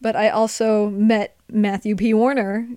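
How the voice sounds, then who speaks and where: A woman's voice: mid-range voice, level tone, a little slow, dark tone, dry recording, studio quality. A middle-aged woman hosting a podcast in a casual, chatty interview style. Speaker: podcast host.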